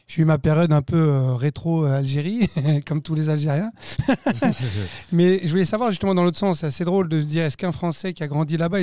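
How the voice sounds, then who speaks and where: A man's voice: fairly high pitch, dark tone, dry recording, clean studio sound. A man hosting a casual talk show who grew up in France, asking curious and joking questions. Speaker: host. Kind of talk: casual interview chat.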